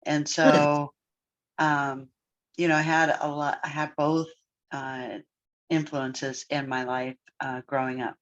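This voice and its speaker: A podcast co-host and therapist talking through family background in a reflective, measured way, with frequent pauses and fillers. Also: medium pitch, flat delivery, a bit bright. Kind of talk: casual podcast discussion. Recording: dull, lo-fi sound.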